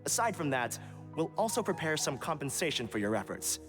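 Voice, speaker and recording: A man's voice: a little high, very animated, brisk, a bit bright, soft background music. A man playing a polite, earnest academy official in an anime video game, speaking formally and courteously.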